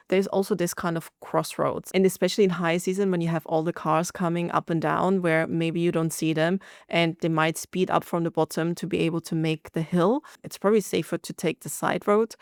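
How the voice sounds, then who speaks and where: A young woman chats through travel tips to her camera, relaxed and conversational. Her voice carries a light gravelly fry. She sits indoors close to a microphone, and the sound is dry and close. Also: fairly low pitch, no room sound. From half Thai, half Austrian. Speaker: travel vlogger. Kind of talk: casual travel vlog to camera. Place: indoors by a window overlooking a pool. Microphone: studio mic in front of her.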